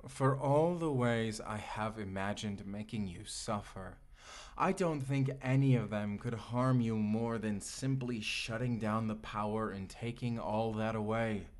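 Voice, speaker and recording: An actor playing a vengeful, obsessive male AI companion in a dark sci-fi audio roleplay, speaking in a deliberate, bitter, theatrical way. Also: fairly low pitch, lightly acted. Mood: menacing, contemptuous. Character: vengeful, obsessive male AI companion turned menacing robot lover.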